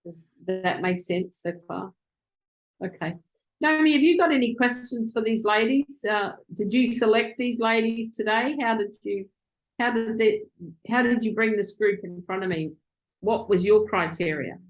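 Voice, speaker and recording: A woman interviewing virtual assistants on a video call, excitable and quick to speed up when she gets going. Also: fairly high pitch, rough recording.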